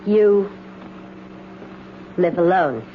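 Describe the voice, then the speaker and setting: An actress playing a sly, coolly insolent woman drifter in a radio mystery, languid and softly taunting. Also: on the low side, lively intonation, extremely slow. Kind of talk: 1970s radio mystery drama acting. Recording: muffled, noisy 1970s radio broadcast.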